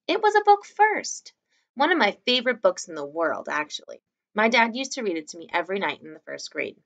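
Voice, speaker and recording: A woman's voice: medium pitch, big pitch swings, a little fast, gravelly, fairly bright tone, voice pushed up. A woman voicing an eager schoolgirl bookworm from a storybook, emphatic and knowing. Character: schoolgirl, eager, matter-of-fact bookworm friend.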